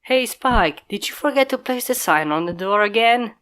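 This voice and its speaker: A man's voice: high voice, animated, normal speed, sharp tone, clear, resonant tone, pitched above the performer's own voice. A man voicing a clever, cautious young female unicorn, questioning sharply and on guard, in a fanfic reading. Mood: wary. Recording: mic pops from a failing microphone.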